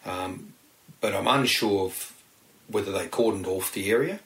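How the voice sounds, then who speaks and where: Male ex-detective interviewee, Australian accent, lowish voice, halting and rambling, unscripted. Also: vocal fry, a bit bright, rough recording. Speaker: former police detective, interviewee.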